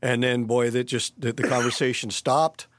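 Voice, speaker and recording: A pastor in his early sixties talks through his thoughts slowly, with pauses and restarts. His voice comes across dry and close. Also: medium pitch, steady tone, no echo. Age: 60s. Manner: hesitant, many fillers and restarts. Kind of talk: casual interview conversation.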